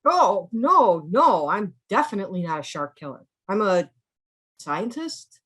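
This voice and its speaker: A woman's voice: medium pitch, very animated, a bit bright, slightly rough, full character voice. A woman playing a hesitant, awkward male fisheries scientist in a comedy table read. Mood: nervous. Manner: hesitant, halting.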